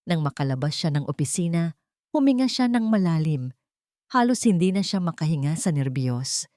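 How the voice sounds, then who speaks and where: Female Filipino narrator for romantic drama audio story, slightly low register, close dry recording. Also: sing-song, normal speed, mellow, no room sound.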